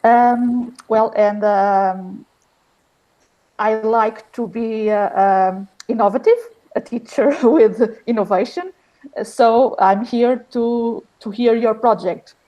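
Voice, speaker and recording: A woman's voice: fairly high pitch, takes it slowly, highs cut off. A woman from Portugal, a secondary school teacher, introducing herself hesitantly on a video call. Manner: hesitant, filler-heavy.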